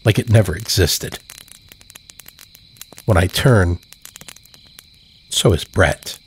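Very deep, slow male storyteller. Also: a little hiss or hum. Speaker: storyteller, narrator. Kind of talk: scripted horror fiction narration.

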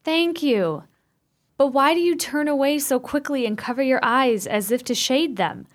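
A woman's voice: sits high, expressive, normal speed. A woman acting an anxious, easily alarmed sister in a radio play, full of urgent questions. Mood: puzzled, fretful.

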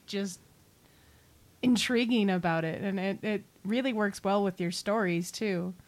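Woman co-host with a medium-pitched voice. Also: a little slow. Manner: informal, encouraging. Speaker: podcast co-host and interviewer. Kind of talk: casual interview chat.

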